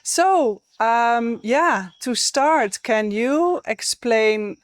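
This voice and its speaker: A woman's voice: fairly high pitch, expressive, extremely slow, no echo, studio quality. A woman from the Netherlands with a Dutch accent, interviewing a school director in a friendly way with some hesitations. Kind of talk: conversational interview.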